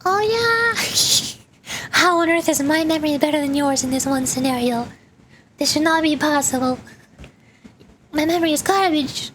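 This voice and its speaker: A woman livestreamer chatting casually with her viewers in a playful, animated, bantering way. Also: high-pitched, moderate pace, pauses often, a little hiss or hum. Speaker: VTuber livestreamer. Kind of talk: casual chat with stream viewers.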